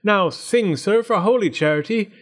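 Male reader doing a wily fox: high, wheedling flatterer for a storybook. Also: normal speed. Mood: flattering. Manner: coaxing, flattering. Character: sly flattering male fox, smooth-talking schemer.

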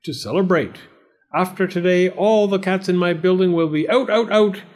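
A man's voice: high voice, normal speed, a little mellow, voice pushed up, close to an everyday voice. A man voicing a blustery, suspicious and bumbling male landlord in a children's story, exclaiming and drawing out his key words. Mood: eager, scheming.

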